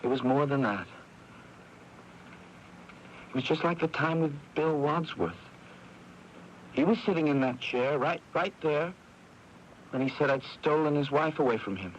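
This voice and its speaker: An actor playing a guilt-ridden, agitated brother in a 1980s radio drama, halting and repeating himself. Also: male voice, a little high, lively intonation, dull audio. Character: anguished, guilt-ridden brother with a fierce temper. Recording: noisy 1980s AM radio broadcast.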